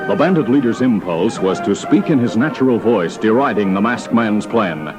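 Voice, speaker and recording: A man's voice: lowish voice, expressive, moderate pace. A man giving formal, dramatic scripted narration in the style of old-time radio drama. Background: music.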